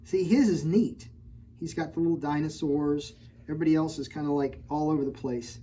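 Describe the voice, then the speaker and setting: Woman librarian, storytime reader, mellow tone, playful teasing asides. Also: sits low, fairly quick, slight background noise. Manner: playful, teasing, stops often to point out pictures. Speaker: children's librarian. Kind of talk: storytime read-aloud with chatty asides.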